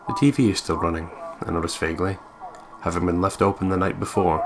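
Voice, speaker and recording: A man co-playing a horror game, joking casually and reading the text aloud. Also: sits low. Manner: joking, playful. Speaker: co-player in a horror game playthrough. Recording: clear background noise.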